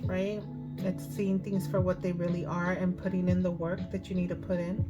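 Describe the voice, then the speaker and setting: Hoarse woman reading tarot cards. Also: on the low side, flat delivery, moderate pace. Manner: chatty, thinking aloud with fillers. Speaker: tarot reader. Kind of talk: casual tarot card reading. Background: music.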